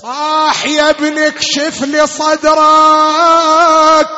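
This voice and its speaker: A man preaches a lamentation in a bright, forceful wail, half sung and full of anguish. He holds a narrow, insistent line and stretches his words slowly. The sound is dull and lo-fi. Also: extremely high pitch, level tone. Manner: anguished, wailing, drawn-out delivery. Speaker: religious mourning reciter and preacher. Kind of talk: Shia lamentation sermon, half-chanted. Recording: long hall reverb, dull lo-fi sound, music-like backing.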